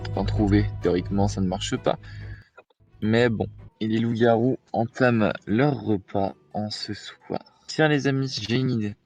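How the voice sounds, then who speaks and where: A man's voice: on the low side, normal speed, a little mellow. A man narrating an online werewolf game in a grand, mock-formal announcer style, with faint music behind him.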